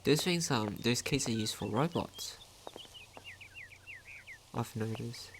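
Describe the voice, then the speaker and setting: A man's voice: medium pitch, slow, background noise. A man doing casual let's play commentary, thinking aloud, repeating phrases and asking himself questions. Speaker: gaming commentator. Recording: game music behind the voice. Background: video game music.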